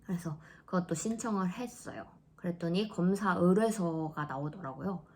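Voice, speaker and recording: A woman in her thirties chatting casually in a vlog, laughing often and dropping into soft asides. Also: lowish voice, moderate pace. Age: 30s. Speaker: vlogger.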